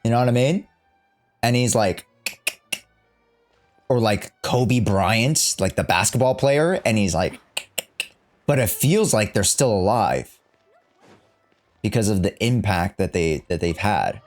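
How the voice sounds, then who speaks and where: A man's voice: fairly low pitch, lively intonation, takes it slowly, long pauses. A man doing casual live-stream commentary on a game, rambling and reading the dialogue aloud as he goes. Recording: game music behind the voice. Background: game music and dialogue.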